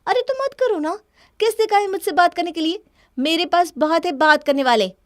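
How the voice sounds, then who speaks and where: A woman's voice: very high-pitched, expressive, fairly quick, dry recording, clean studio sound. A woman voicing a spoiled, defiant young wife in a cartoon story, arguing in a dismissive, indignant way. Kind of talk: Hindi cartoon story dialogue.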